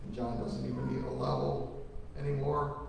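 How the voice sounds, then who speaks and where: A man's voice: medium pitch, flat delivery, normal speed, creaky, a little mellow, slight background noise. A middle-aged man preaching at a funeral service, earnest, with folksy storytelling. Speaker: pastor. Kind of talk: funeral sermon. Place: large church sanctuary. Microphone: lectern microphone from a far camera. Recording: echoey church from far off.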